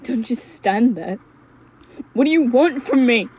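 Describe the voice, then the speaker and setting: A woman playing a desperate, tender young woman on the run with her lover in an old-fashioned amateur audio drama, halting and repeating her words. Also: fairly high pitch, animated, darkish tone, slightly rough. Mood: frightened, demanding. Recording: noisy ambience sound effects behind voice. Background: forest, stream and dungeon ambience effects.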